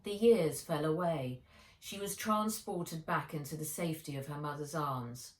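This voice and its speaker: A woman reading her own children's novel aloud as narrator, in measured, expressive storytelling. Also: sits low. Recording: roomy echo, distant microphone.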